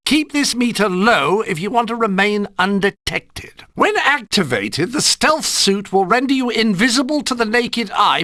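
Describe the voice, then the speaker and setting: An actor playing a fussy, proud gadget inventor who briefs a spy precisely in a video game. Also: male voice, sits high, bright, forceful tone, no echo, clean studio sound, full character voice. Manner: precise, instructive, slightly fussy.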